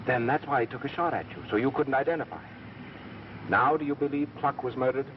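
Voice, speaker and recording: A man acting a mild, earnest, quietly smitten scientist, a little hesitant, in an old 1930s film. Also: medium pitch, brisk, natural voice. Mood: matter-of-fact. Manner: matter-of-fact, hesitant, mild. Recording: dull, noisy vintage film soundtrack.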